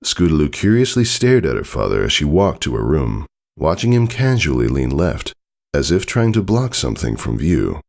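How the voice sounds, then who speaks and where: Male fiction narrator: low, creaky voice, highly animated dramatic storytelling. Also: moderate pace. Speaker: story reader.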